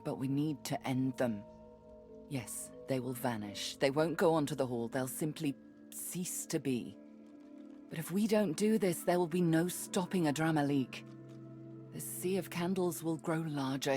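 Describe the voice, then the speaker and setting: A woman playing a grave, resolute sorceress in a video game, speaking in a deliberate, commanding way. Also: low-pitched, mellow, soft background music. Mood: grave urgency. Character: grave, resolute low-voiced sorceress urging action.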